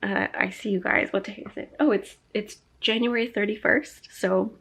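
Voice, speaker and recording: A woman's voice: medium pitch, fairly even intonation, moderate pace, a bit bright, husky. A young woman vlogging to camera in a chatty, rambling way, a little stuffy from a cold and laughing often. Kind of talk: casual talking-to-camera vlog.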